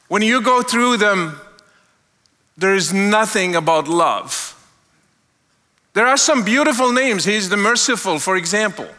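Bright, high-pitched man preaching. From Morocco. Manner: earnest, slows down to stress key words. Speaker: guest speaker. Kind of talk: testimony sermon. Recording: long hall reverb.

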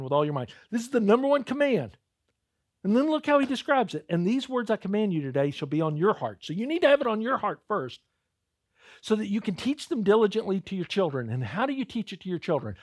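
A man's voice: sits high, dry recording. Man preaching, dry and close. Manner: conversational preaching, addresses crowd as "guys", repeats words when starting. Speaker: pastor. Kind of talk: sermon.

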